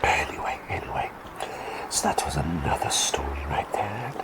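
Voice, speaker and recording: A man voicing a quirky male talking bird plague doctor, telling rambling bedtime stories in a soft ASMR whisper. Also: big pitch swings, normal speed. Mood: gentle whisper. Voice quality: deep creaky whisper. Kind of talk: ASMR roleplay bedtime storytelling.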